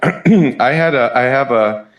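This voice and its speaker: A man in his fifties from the Southern United States with a Southern US accent, chatting as a musician guest in an interview, relaxed and hesitant with many fillers. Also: medium pitch, a little slow. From Southern United States (Kentucky, Memphis). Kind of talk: casual interview chat. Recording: echoey room.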